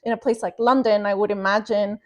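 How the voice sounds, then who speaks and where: A woman's voice: medium pitch, steady tone, a little fast. Woman from Venezuela, podcast guest, reflective and searching, mid-range voice. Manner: thoughtful, many fillers and restarts. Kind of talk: reflective interview answer.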